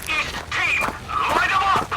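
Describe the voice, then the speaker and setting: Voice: very high-pitched, takes it slowly. An actor playing a tense, blunt military first sergeant in a sci-fi radio drama, barking urgent battle orders. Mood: urgent shouting. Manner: urgent, barked exclamations. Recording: roomy, distant, music behind.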